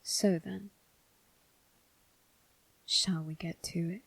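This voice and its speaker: A woman voicing a sly, predatory demon disguised as a servant in a scripted ASMR roleplay, with a breathy, whispery voice and a teasing, deliberate delivery. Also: lowish voice, fairly even intonation, a bit bright, dry recording, heavily acted, rough recording. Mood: taunting, predatory. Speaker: voice actor.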